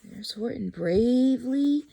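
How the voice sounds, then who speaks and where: A woman's voice: on the high side, animated, slow pace, vocal fry, warm tone, a little hiss or hum. A woman reading a children's picture book aloud expressively and chatting to young listeners about it. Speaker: storyteller.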